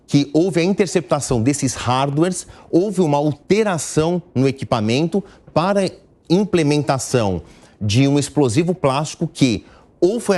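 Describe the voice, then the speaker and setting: A man's voice: a little high, darkish tone. A man with a Brazilian accent explaining the news live on television in a didactic, step-by-step way, stressing key words. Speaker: news commentator.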